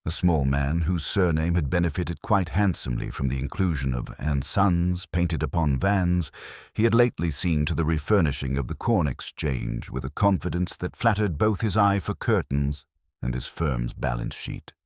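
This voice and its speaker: Very deep male storyteller, wry delivery. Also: expressive, darkish tone, no echo, clean studio sound. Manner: wry, measured, literary. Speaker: narrator of an audio mystery story. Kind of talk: scripted narration of a period comic mystery in radio drama style.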